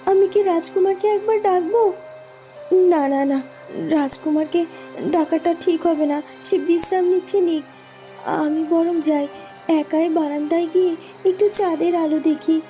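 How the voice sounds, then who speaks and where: A woman as a very high-pitched, anxious young heroine. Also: moderate pace, dark tone. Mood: worried, wistful. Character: anxious young heroine Phulkumari, polite but indignant. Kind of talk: Bengali fairy-tale cartoon dubbing. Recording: music behind the voice.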